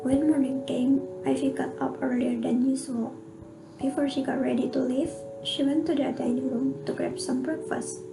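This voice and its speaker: High, creaky young woman narrating over music. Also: level tone, normal speed, poor audio. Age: young adult. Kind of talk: scripted storybook narration for radio drama. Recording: music playing under the voice. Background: instrumental music.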